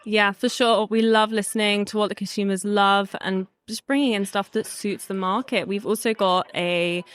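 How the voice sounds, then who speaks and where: A young woman representing a brand, pitching products fluently and upbeat in a trade show interview. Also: fairly even intonation, normal speed, clean tone, dry recording, studio quality. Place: busy trade show hall.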